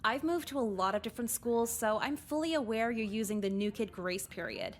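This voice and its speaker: A woman voicing a jaded, sarcastic teenage girl in a visual novel game, deadpan and caustic. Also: on the high side, brisk, lightly acted. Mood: deadpan sarcasm. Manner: deadpan, caustic, foul-mouthed.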